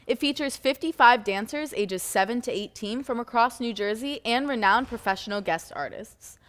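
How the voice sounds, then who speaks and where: A woman co-anchoring a student newscast, reading event announcements in a steady, even way. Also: fairly high pitch, moderate pace, big-room sound. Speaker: news co-anchor.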